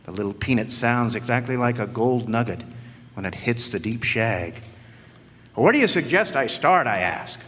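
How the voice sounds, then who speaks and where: Male actor as a dry, sardonic noir private eye, mid-pitched, live in a reverberant hall. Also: lively intonation, normal speed, fairly bright tone, natural voice. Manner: dry, sardonic first-person narration. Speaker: narrating private detective. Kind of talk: 1940s-style noir radio acting. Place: live stage performance in a hall.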